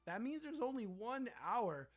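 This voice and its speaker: A man's voice: extremely high pitch, big pitch swings, a little slow. A young man hosting a casual livestream, reading viewer comments aloud and joking about them. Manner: chatty, reads viewer comments aloud and riffs on them playfully. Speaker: livestream host reading chat.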